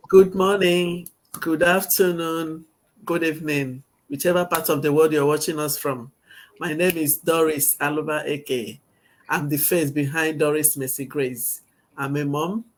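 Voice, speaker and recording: A woman from Nigeria, giving a brisk, upbeat self-introduction on a business live stream. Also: low-pitched, fairly even intonation. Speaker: guest team member.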